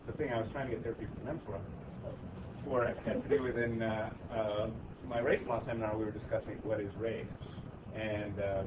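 A man who grew up in Michigan, a law professor, telling a wry personal story to a discussion group and acting out others' lines. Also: fairly low pitch. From Michigan, USA. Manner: wry, laughing, quoting others in dialogue. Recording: distant dull mic with loud noise.

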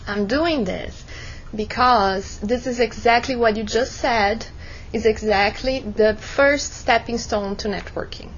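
A woman's voice: fairly high pitch, highs cut off, slight background noise. A woman instructor leading a classroom workshop, encouraging students and asking rhetorical questions. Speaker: law school instructor.

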